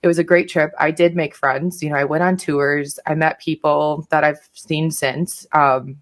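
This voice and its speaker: A woman's voice: low voice, little pitch movement, a little slow. A middle-aged woman, a podcast guest, chatting casually and frankly about her own experiences. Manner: frank, self-reflective. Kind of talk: casual reflective chat.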